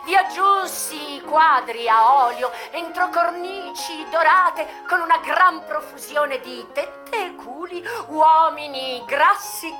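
Brazen, theatrical, very high-voiced brothel madam. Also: female voice. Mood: proud, brisk. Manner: theatrical, drawn-out words, savouring lists. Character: brazen, worldly American brothel madam recalling her trade. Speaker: stage actress. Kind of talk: theatrical radio monologue. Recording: music playing behind the voice.

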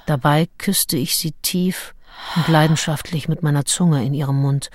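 An actress in a radio play voicing a frank, passionate nineteenth-century woman diarist, husky and confiding. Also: sits low, fairly even intonation, normal speed, no echo. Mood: confiding, aroused. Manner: confiding, intimate. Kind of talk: radio play, intimate diary monologue.

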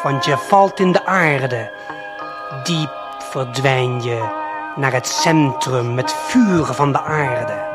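A man narrating an audio play in the second person, deliberate and suspenseful, speaking straight to the listener. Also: on the high side. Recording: late 1970s vinyl LP production. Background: prominent music.

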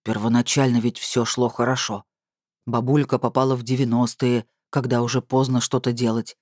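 A woman reader voicing a mighty, irascible male being with a rumbling, grumbling bass in a storybook. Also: little pitch movement, moderate pace, darkish tone, no echo, clean studio sound. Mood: uneasy. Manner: grumbling, brooding.

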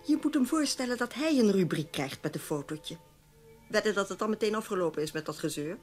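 An actress playing a shrewd, frank former newspaper secretary who gives knowing advice in a radio drama. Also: female voice, mid-range voice, expressive, brisk, darkish tone, soft background music, natural voice. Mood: shrewd, advising. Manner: knowing, advisory. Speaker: actor.

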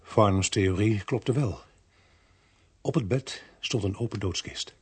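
A man acting a detective who narrates his investigation in a radio play, measured and dramatic. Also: fairly low pitch, slightly rough, no room sound. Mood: dramatic, reflective. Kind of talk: first-person dramatized storytelling.

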